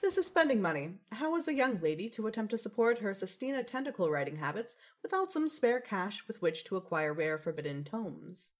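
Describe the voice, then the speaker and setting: A woman plays a haughty young lady gamer in an audio drama. She is dry and elaborately formal, at a middling pitch with lively swings in intonation. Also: brisk, hardly any pauses. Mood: dry sarcasm. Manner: dry, mock-formal, aloof.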